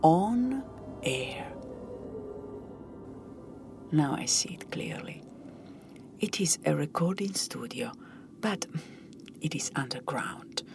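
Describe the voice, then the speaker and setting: A woman telling a scripted first-person story in a hushed, deliberate, dramatic manner. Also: sits low, very animated, gravelly, whispered, music in the background. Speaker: audio storyteller.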